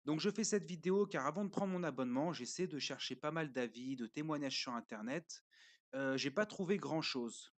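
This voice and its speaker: A man speaking casually as a parent reviewing a learning platform, pausing often with fillers and explaining step by step while he shows a screen. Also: fairly high pitch. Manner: hesitant, pauses often with fillers.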